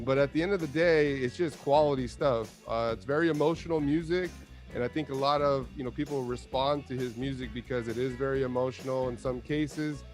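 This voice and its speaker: A man hosting an interview show over a video call, talking in an eager, loose way with lots of fillers. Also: mid-range voice. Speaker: show host.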